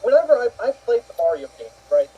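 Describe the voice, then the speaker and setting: High, very husky man, sarcastic. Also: very animated, fast. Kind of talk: casual chat. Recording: slightly dull lo-fi sound.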